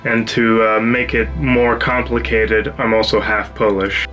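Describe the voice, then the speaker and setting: Twenty-something guy, american accent, matter-of-fact, soft music behind. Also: lowish voice, flat delivery, measured pace, poor audio. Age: 20s.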